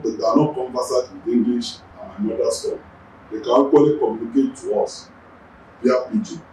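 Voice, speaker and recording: A Yoruba-speaking Nigerian man, a traffic agency's general manager, giving measured interview answers and often repeating words as he gathers his thoughts. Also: mid-range voice, darkish tone, far from the mic. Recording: echoey room, voice off-mic.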